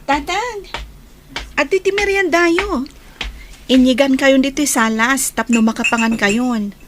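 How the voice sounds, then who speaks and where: A woman's voice: sits high, a little slow, a little hiss or hum. A young woman with an Ilocano accent playing a caring but firm daughter in an audio drama. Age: young adult.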